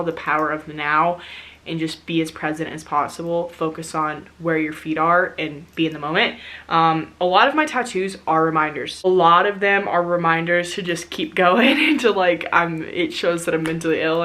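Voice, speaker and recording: A young woman vlogging to camera in an open, chatty way, laughing and cussing casually. Her voice sits a bit low in pitch but sounds bright and forward. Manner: chatty and candid, swears casually, laughs often. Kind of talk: casual talking-head chat.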